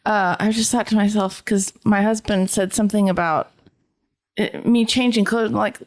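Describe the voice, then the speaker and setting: A woman's voice: medium pitch, steady tone, normal speed. A woman in her fifties who co-hosts a podcast and chats casually, telling stories from home. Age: 50s. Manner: chatty, tells anecdotes from home. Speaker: podcast co-host. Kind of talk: casual conversation.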